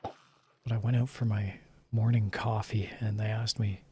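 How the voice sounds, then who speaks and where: A man streamer chatting casually late at night in a calm, soft-spoken way, chuckling and sometimes dropping to a whisper. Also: on the low side, unhurried, slightly rough. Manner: relaxed, chuckling, drifting into whispers.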